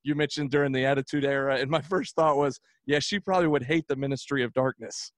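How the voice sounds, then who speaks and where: A man who is a wrestling commentator, talking in a rambling way with many pauses and restarts. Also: mid-range voice, fairly even intonation, no room sound, clean studio sound. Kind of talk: casual podcast chat.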